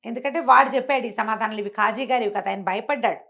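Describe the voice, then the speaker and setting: A woman's voice: a little high, fast talker, pushed and bright, lo-fi sound. A woman telling a moral tale expressively, explaining through dialogue and rhetorical questions. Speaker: storyteller. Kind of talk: animated oral storytelling of a moral fable, acting out dialogue.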